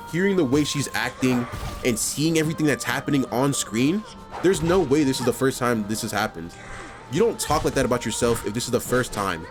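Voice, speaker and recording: Brief: male commentary host from New York, lively intonation, casual, earnest, music underneath. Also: medium pitch. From New York, USA. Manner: casual, heartfelt, filler-heavy, swearing. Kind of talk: reaction commentary.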